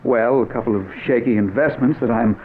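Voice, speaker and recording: A man's voice: mid-range voice, brisk, natural voice. An actor playing a wealthy, evasive businessman in an old-time radio drama, hesitant, polite and falsely regretful. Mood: apologetic excuses. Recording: narrow, noisy vintage radio recording.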